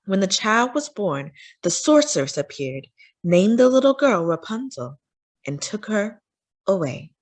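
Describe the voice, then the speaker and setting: Expressive woman reading a storybook. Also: mid-range voice, a little slow. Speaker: children's read-aloud storyteller.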